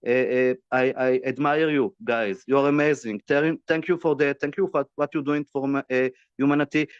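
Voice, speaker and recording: A man's voice: medium pitch, steady tone, normal speed, clear, resonant tone, no echo, highs cut off. A man from Israel making an urgent appeal in broken English, with many hesitations and fillers. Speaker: activist speaker. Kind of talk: impassioned appeal in halting English.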